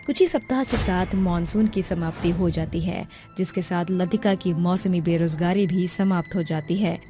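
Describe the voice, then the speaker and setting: A woman's voice: lowish voice, moderate pace. A woman narrating a dramatic scripted story. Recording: music under the voice.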